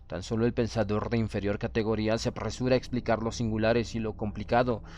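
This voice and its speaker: A man narrating a horror audiobook in the first person, with a measured, solemn and literary delivery. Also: lowish voice, flat delivery, a little mellow, a little hiss or hum.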